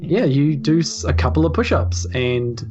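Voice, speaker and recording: A man's voice: fairly high pitch, lively intonation, moderate pace, highs cut off, music in the background. A man with a New Zealand accent casually improvising narration as game master.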